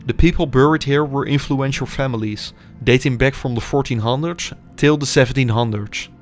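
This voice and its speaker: Young man, measured documentary voice-over, middle pitch, faint background music. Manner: measured, explanatory delivery. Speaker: urban explorer narrator. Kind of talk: scripted documentary narration.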